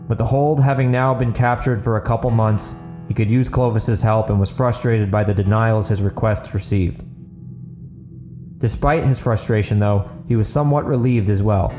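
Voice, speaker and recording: Mellow male storyteller over guitar music. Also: medium pitch. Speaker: author narrating his audio book podcast. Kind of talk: scripted storytelling narration.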